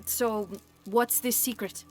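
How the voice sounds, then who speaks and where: A woman's voice: on the high side, steady tone, slow. Cheeky young village woman. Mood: curious. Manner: casual, teasing. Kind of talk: cinematic video game acting. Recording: music behind the voice.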